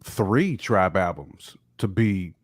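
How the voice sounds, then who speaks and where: Very deep-voiced emphatic podcast co-host. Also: male voice, animated, takes it slowly, no room sound, clean studio sound. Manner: enthusiastic, emphatic praise with repeated words. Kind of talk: casual podcast chat.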